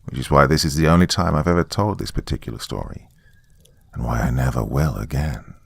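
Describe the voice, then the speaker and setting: A man narrating a scripted horror story in a grave, deliberate, dramatic way. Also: very deep voice, gravelly, breathy edge.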